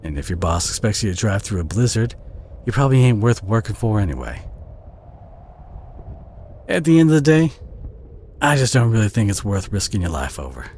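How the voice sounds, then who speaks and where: A man with a Southern US accent playing a kind, protective, bashful country boy in an audio roleplay, speaking in a gentle, folksy, hesitant way with a husky voice. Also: on the low side, sing-song, a little fast, pauses often, slight background noise. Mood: earnest concern. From southern United States. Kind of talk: audio roleplay acting, addressed to the listener.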